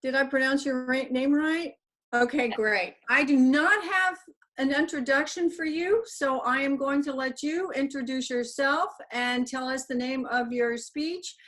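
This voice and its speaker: A woman's voice: high-pitched, measured pace, a bit bright. A woman chairing a club meeting over a video call, upbeat and courteous.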